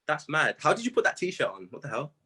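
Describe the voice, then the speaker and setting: A young man chatting casually in an interview, telling stories in an animated, slangy way with plenty of "bro" and "man". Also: a little high, quick pace, husky. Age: young adult. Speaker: music artist being interviewed. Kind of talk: casual chat.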